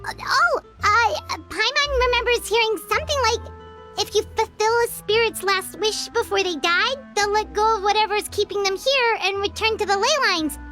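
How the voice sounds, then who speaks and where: A woman voicing a small, childlike fairy companion in a game, chatty, eager and easily flustered, with a squeaky voice. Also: normal speed, bright, forceful tone, faint music behind. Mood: hesitant, unsure. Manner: stammering, refers to herself by name. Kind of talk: animated game voice acting.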